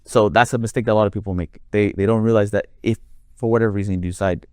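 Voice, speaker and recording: A man with a deep voice, a Quran reciter explaining his craft in a conversational interview, building his points with long worked examples. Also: steady tone, fast talker, darkish tone, clean tone, no echo, studio quality.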